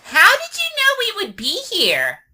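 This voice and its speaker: A woman voicing an excitable, bookish young female cartoon pony fan, with dramatic, earnest, emphatic delivery. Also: very high voice, measured pace, exaggerated voice. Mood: curious, puzzled. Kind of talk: animated cartoon voice acting. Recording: distant mic with faint noise.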